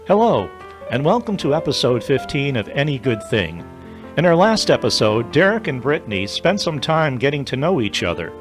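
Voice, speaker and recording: A man reading scripted narration for an audio drama as its narrator. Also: a little high.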